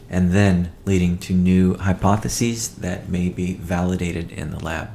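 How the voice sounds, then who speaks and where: A man presenting a company update as a chief executive, in a measured, matter-of-fact way with halting phrasing. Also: sits low, gravelly, darkish tone, a little hiss or hum. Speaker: company CEO hosting a weekly call. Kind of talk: business update presentation, partly read from notes.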